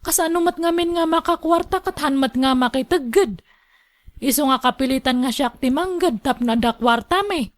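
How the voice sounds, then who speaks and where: An actress with an Ilocano accent playing a coy, hardworking young village woman with hesitant, drawn-out interjections in a radio drama. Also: high-pitched, close to an everyday voice. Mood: resigned.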